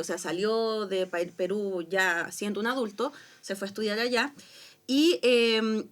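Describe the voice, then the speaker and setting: Woman, Chilean accent, chatty storyteller, fairly high voice. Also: a bit bright. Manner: chatty storyteller, laughs easily. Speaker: podcast co-host. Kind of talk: casual anecdote.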